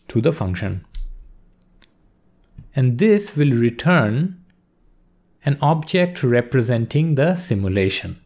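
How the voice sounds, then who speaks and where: Guy teaching a coding lesson over his screen, mid pitch, steady step by step tone. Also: a little hiss or hum. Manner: steady explanatory pace, walks through steps. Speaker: course instructor.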